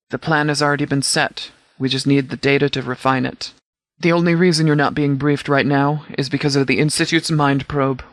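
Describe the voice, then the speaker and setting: Man playing a game sheriff's commander, slightly high male voice, formal, precise, measured, no-nonsense, very dry recording. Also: a bit bright, dry recording, natural voice. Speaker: commanding officer character in a video game. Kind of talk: scripted game dialogue, mission briefing.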